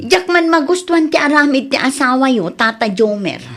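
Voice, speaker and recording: A woman's voice: sits high, big pitch swings, normal speed, loud music behind the voice. An actress with an Ilocano accent playing an outspoken village woman in a radio comedy drama. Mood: reproachful.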